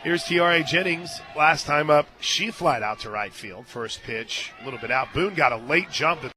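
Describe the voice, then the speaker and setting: A male radio announcer with a fairly high voice calls a softball game live. He moves between clipped pitch-by-pitch calls and folksy, joking banter with listeners and his booth partner. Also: expressive, moderate pace. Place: outdoor stadium press booth.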